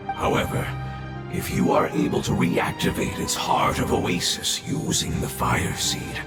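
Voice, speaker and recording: A man playing a weary, dying guardian creature in a video game, speaking solemnly and haltingly in a breathy rasp. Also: echoey room, lightly acted. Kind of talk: dramatic video game voice acting.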